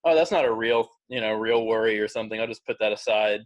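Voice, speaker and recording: A man's voice: fairly low pitch, fast talker, lo-fi sound. A man in a casual video call, talking in a rambling way full of fillers. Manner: rambling, many "like" and "you know" fillers. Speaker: Zoom meeting participant. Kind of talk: casual group video chat.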